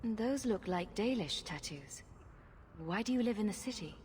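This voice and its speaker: A confident adventurer heroine, voiced by a woman speaks calmly and directly, with dry humour. Her pitch is mid-range, with faint noise behind her. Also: moderate pace, close to an everyday voice. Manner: calm, direct, wry. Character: confident female adventurer hero, dry wit.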